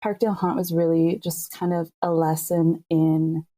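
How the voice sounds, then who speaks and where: Woman, low and soft-edged voice. Earnest, playful, reflective podcast conversation. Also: fairly even intonation, normal speed. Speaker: podcast co-creator, writer and voice actor. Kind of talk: casual reflective discussion.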